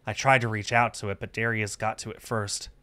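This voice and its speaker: Smooth-voiced man narrating a story. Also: lowish voice, level tone, fairly quick, pushed and bright. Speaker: streamer reading a visual novel aloud. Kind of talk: expressive first-person story narration.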